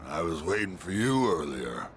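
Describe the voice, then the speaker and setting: An actor playing a gruff, lonely, middle-aged drunken ex-businessman in a video game, with a blustering, slurred drawl. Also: low-pitched, sing-song, moderate pace, a little hiss or hum. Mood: weary, regretful. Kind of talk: English video game voice acting.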